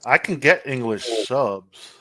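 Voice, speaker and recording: A man co-hosting a casual livestream chat, blunt and offhand, often muttering quietly. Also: mid-range voice, lively intonation, slow. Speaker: podcast co-host.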